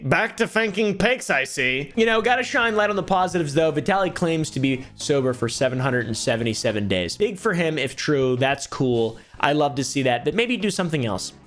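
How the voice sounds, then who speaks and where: A man's voice: fairly high pitch, expressive, a little fast, hardly any pauses, fairly bright tone, soft background music. A young man doing dry, sarcastic commentary on online streamer drama, swearing freely. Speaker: commentary YouTuber.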